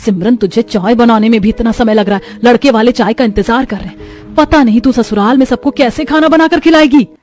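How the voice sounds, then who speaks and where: Middle-aged cartoon mother, voiced by a woman: nagging, exasperated, firm, higher-pitched. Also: brisk, darkish tone, faint music behind, close to an everyday voice. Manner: scolding, instructive. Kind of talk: Hindi cartoon story dubbing.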